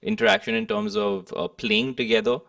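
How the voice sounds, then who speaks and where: A man's voice: high-pitched, big pitch swings, brisk, gravelly, fairly bright tone, clean studio sound. A young man from India, speaking hesitantly and thoughtfully as a musician being interviewed. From Kolkata, India. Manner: hesitant, thoughtful, hedging phrasing. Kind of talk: reflective interview conversation. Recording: echoey room, distant microphone.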